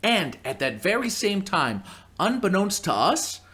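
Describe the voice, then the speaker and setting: A man playing a dry, high-voiced radio sidekick. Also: sing-song, a little slow, sharp tone, exaggerated voice. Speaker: co-host of a radio comedy sketch. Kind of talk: live radio comedy acting.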